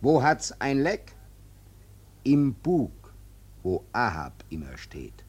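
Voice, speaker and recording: A stern, anxious ship's first mate in a radio drama. His urgent, sharp-tongued delivery swings widely between low muttering and high cries. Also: huge pitch swings, slow, breathy edge, exaggerated voice. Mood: urgent, bitter. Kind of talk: German radio play acting.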